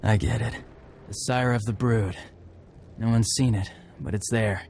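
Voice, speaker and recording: A man's voice: fairly low pitch, steady tone, unhurried, darkish tone, a little hiss or hum. An actor playing an earnest, respectful young warrior in a video game, terse and sincere in the way he talks. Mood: earnest, intent. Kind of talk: video game cutscene acting.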